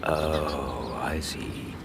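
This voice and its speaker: An actor playing a loyal English gentleman companion in a radio drama, courteous and gently chiding. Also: rumbling low, drawn-out words, background noise, full character voice. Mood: questioning.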